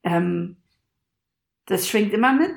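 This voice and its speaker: A woman co-hosting a casual chat podcast, talking in a relaxed, teasing, colloquial way. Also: mid-range voice, slow, gravelly, echoey room. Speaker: podcast co-host.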